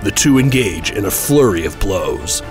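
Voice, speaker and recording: A man's voice: on the low side, expressive, normal speed. A man reading scripted narration for an action audio drama. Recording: voice over loud trailer music.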